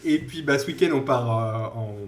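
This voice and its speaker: A man's voice: mid-range voice, normal speed, crowd noise. A man in his thirties co-hosting a podcast, telling rambling stories in casual chat and laughing often. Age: 30s. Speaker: podcast co-host.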